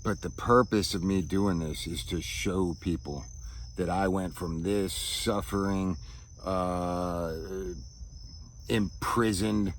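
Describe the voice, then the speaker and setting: A man with a low, deep voice talks in a reflective, personal vlog style. He moves haltingly, searching for words and often tagging his thoughts with a quick check for agreement. Faint outdoor insect noise sits behind him. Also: steady tone. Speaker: vlogger. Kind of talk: reflective personal monologue to camera. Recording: outdoor ambience with insects chirping.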